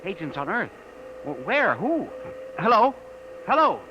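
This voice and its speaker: A man's voice: high voice, big pitch swings, measured pace. An actor playing a nervous, stammering young male assistant who is deferential to his boss, in 1950s radio drama style. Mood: excited. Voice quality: stammering, jittery. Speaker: junior employee. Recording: muffled old radio broadcast with faint hiss.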